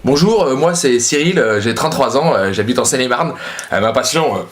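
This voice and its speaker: A man in his thirties playing an excitable, football-obsessed dinner host who rambles with lots of fillers. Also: medium pitch, brisk, lightly acted. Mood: eager. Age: 30s. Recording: roomy, distant camera audio, faint noise.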